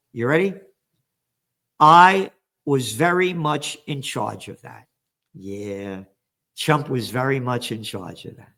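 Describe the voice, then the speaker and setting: An older man hosting a news commentary, reading headlines and ranting with angry, sarcastic, profane remarks that swing from low mutters to shouts. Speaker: trends commentator and host.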